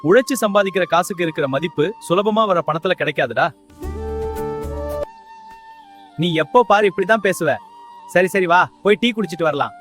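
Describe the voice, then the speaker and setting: High-pitched village man played by a male actor, earnest and plain-spoken, for animated moral-story dialogue. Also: fast talker, soft background music.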